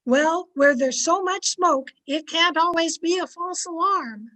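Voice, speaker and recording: Actress as sensible radio-comedy wife, extremely high-pitched, patient and fond, gently corrects with pet names. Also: female voice, measured pace. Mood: gently reasoning. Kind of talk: 1940s radio comedy acting.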